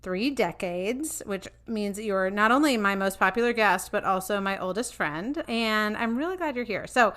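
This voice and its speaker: A woman's voice: medium pitch, expressive, normal speed, few pauses, fairly bright tone. A middle-aged woman hosting a podcast, asking earnest, thoughtful questions in a conversational interview. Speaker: podcast host.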